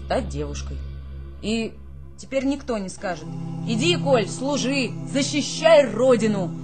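Высокий жалобный голос юного солдата-интеллигента. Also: female voice, expressive, measured pace, over music. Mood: desperate, pleading. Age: young adult. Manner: earnest, plaintive, high-flown intellectual speech. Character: young male army conscript, sensitive artist turned girl. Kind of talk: radio drama acting.

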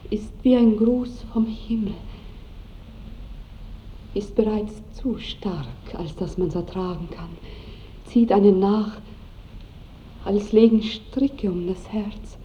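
A woman's voice: medium pitch, slow, long pauses, dark tone, natural voice. A woman playing an innocent, very young noble bride in a radio play, girlish and fervent. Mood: hushed rapture. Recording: muffled, echoey 1960s radio with noise.

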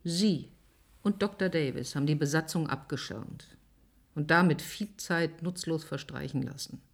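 A woman's voice: sits low, normal speed, creaky. An actress playing an earnest, impassioned woman doctor in a German radio play, with an urgent, insistent manner. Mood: indignant.

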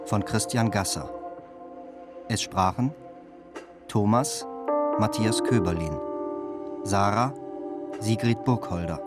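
A man reading scripted closing credits as a radio drama announcer. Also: fairly low pitch. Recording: loud music underneath. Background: loud music.

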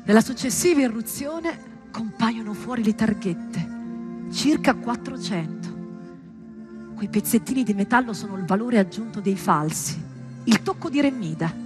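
A woman performing a scripted theatrical monologue, storytelling with deliberate pauses and emphatic, dramatic delivery. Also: fairly high pitch, expressive, over music. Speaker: stage performer.

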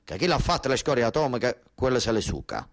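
A man's voice: mid-range voice, very animated, brisk. An actor voicing an illiterate peasant father in his seventies from Basilicata, blunt and indignant, with earthy southern Italian dialect in a one-man stage show. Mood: bossy. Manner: earthy, coarse, commanding dialect talk. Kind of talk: one-man narrative theatre.

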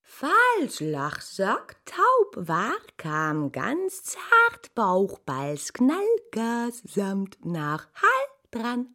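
An actress playing a theatrical chanter of nonsense word lists in an experimental radio play. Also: female voice, on the high side, huge pitch swings, extremely slow, hardly any pauses, sharp tone, heavily acted. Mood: declamatory.

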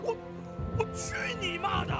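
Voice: very high voice, steady tone, a little slow, loud music behind the voice. An actor playing a brash, exasperated young character in an audio drama, scolding bluntly in slang. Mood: angry. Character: brash, exasperated, foul-mouthed young person, slangy and worried.

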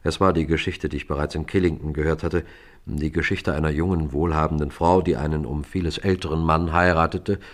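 A man playing a composed detective who narrates and questions in a German radio crime play, measured and pointed. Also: very deep voice, steady tone. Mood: measured, grave. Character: composed, probing male detective narrating his case.

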